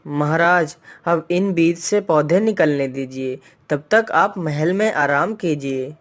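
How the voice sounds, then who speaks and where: A performer voicing a clever male thief in a Hindi moral-story cartoon, speaking in a measured, persuasive way. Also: a little high. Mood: assured.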